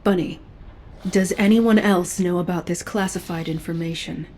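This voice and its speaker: An actress playing a stern but quietly caring female special forces agent in video game dialogue, measured, dry and matter-of-fact. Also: fairly low pitch, steady tone, slight background noise, lightly acted.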